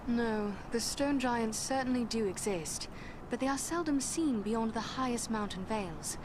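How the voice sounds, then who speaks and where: An actress playing a composed, knowledgeable elf in a video game, speaking formally. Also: female voice, on the high side, moderate pace, noisy background, lightly acted. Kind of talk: video game cutscene dialogue.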